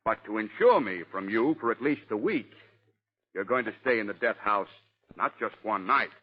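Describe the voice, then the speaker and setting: An actor playing an irritable, blustering American police commissioner in an old radio drama. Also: male voice, fairly low pitch, lively intonation, normal speed. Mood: triumphant. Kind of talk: 1940s radio drama acting. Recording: muffled old radio recording.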